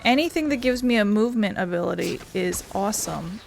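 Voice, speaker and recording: Female game streamer: mid-pitched, casual, wry play-along commentary with music in the background. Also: lively intonation, brisk, creaky.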